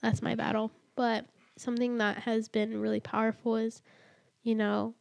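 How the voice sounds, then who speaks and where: Young woman, early 20s, higher register, casual and chatty with lots of "like" fillers, podcast guest. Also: level tone, vocal fry, smooth. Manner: chatty, many "like" fillers, quick to laugh. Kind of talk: casual faith conversation.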